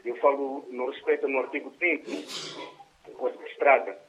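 Male caller, Mozambican, halting and filler-heavy, heard over a tinny phone line. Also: medium pitch, fairly even intonation, crowd noise. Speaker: radio call-in listener. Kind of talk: phone-in comment.

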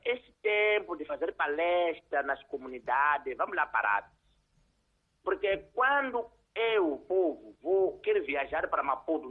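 A man's voice: high-pitched, husky. A man with a Mozambican Portuguese accent, a non-native speaker, calling in to a radio show and speaking hesitantly, then emphatically. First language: a local language, not Portuguese. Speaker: caller. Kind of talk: call-in radio comment. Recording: tinny phone line.

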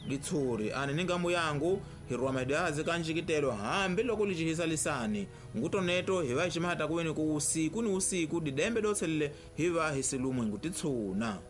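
A man plays a friendly villager in a radio health spot. He talks earnestly and persuasively, his voice slightly high, over faint music. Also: lively intonation, brisk, few pauses, close to an everyday voice. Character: friendly man urging neighbor to use nets. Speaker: radio drama character.

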